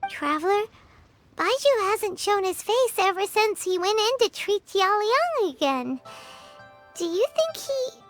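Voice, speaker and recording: Squeaky childlike fairy sidekick. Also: female voice, very animated, a little slow, a bit bright, full character voice. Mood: anxious. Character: small high-voiced childlike fairy companion, excitable and nosy. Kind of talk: English video game voice acting. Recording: music under the voice.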